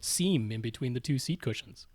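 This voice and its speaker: A young man co-hosting a casual podcast, raspy as he recovers from illness, dry and matter-of-fact. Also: medium pitch, lively intonation, moderate pace, a little mellow, no echo. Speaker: podcast co-host. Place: small home studio room. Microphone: studio mic on a boom.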